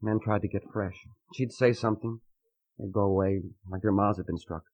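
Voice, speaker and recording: An actor playing an earnest, lovesick young man who speaks in halting, poetic, fervent phrases in a melodramatic radio drama. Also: on the low side, fairly even intonation, darkish tone, natural voice. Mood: fervent adoration. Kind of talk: melodramatic old-time radio acting. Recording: muffled vintage radio recording.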